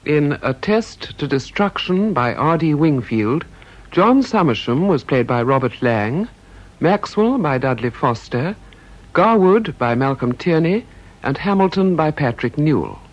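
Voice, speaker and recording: A man's voice: on the high side, very animated, measured pace. A British man announcing the scripted cast credits of a radio play. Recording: dull radio broadcast recording.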